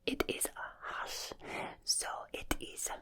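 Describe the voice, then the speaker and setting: A woman whispering slowly and soothingly in a breathy, deliberate ASMR style. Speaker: ASMR creator.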